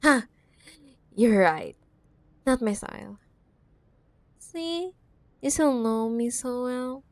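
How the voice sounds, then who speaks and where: Casting: woman as possessive corporate villainess ex, teasing and condescending, unhurried, intimate ASMR roleplay, very dry recording. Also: on the high side, expressive, a little mellow, no echo. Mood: teasing menace. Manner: teasing, condescending, drawn-out words with pet names. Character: possessive, teasing cyberpunk CEO ex-girlfriend villainess. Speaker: audio roleplay voice actor. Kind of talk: ASMR audio roleplay acting.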